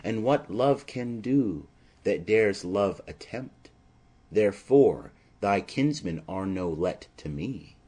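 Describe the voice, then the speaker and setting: A man voicing an ardent, bold, lovestruck young nobleman in earnest, measured Shakespearean verse. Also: lowish voice, expressive, warm tone. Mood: ardent, defiant.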